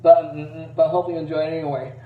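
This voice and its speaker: Man, higher-pitched voice, hesitant, rambling, self-deprecating, casual amateur demo, dull recording. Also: level tone, racing. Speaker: amateur voice impressionist. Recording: roomy, distant, dull low-fidelity mic.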